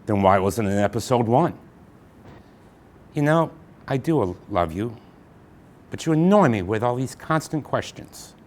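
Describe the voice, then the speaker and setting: A man's voice: medium pitch, expressive, unhurried, long pauses, a little mellow. A man hosting a radio-play show in a jokey, self-mocking monologue who also reads the stage directions. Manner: jokey, self-mocking, talking straight to the audience. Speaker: host and narrator.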